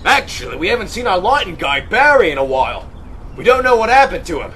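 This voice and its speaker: A man's voice: high-pitched, big pitch swings, quick pace, pushed and bright, a little hiss or hum, exaggerated voice. A man playing a brash, hotheaded, slangy extreme sports show host in a comedic audio play. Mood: cocky.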